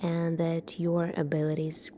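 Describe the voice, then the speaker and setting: A woman plays a calm, secretive doctor of the future in an ASMR roleplay. Her voice is mellow and a little low, often hushed. She speaks very slowly and deliberately, over faint background noise.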